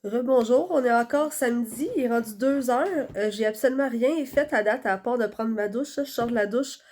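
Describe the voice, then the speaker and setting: A woman's voice: fairly high pitch, moderate pace, darkish tone. A young woman with a Quebec French accent, chatting casually to her phone camera while stuffed up from a cold, with lots of fillers. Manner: chatty, rambling, many fillers. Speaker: vlogger.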